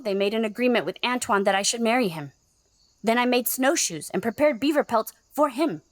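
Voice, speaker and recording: A woman's voice: fairly high pitch, no room sound, natural voice. An actress playing a proud young married frontier woman in an audio drama, curt, formal and assertive.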